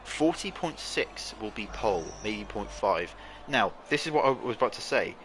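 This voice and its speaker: A man co-commentating a racing game league live, informative and steady, reading out viewer chat messages. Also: fairly low pitch, moderate pace, slight background noise. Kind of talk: live esports race commentary.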